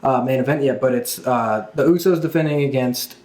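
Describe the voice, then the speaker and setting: A man's voice: mid-range voice, a little fast, darkish tone. A man hosting a casual wrestling talk show, speaking as an enthusiastic fan. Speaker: podcast host. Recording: echoey room.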